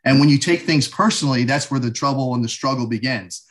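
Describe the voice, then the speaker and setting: A man in his forties from the United States speaks as a guest in a casual interview. He talks in a reflective, unhurried way and fills his sentences with "you know". His pitch sits in an ordinary middle range. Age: 40s.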